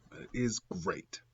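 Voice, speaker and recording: A man with a stuffed-up cold voice talking casually and rambling, full of fillers and self-corrections. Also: highs cut off. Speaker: comic book reviewer. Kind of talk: casual improvised review.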